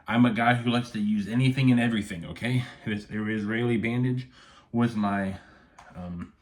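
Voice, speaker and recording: A man vlogging casually from a messy home office room with no external microphone, rambling and checking in with "okay?" after many points. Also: on the low side. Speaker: firearms YouTuber. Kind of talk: casual vlog talk to camera. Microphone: no external mic, camera audio.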